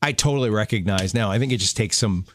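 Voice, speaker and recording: A man's voice: on the low side, fast talker, a bit bright, a little hiss or hum. A man co-hosting a radio show, chatting in an easygoing way and chuckling often from the studio. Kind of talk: casual radio chat. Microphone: studio microphone.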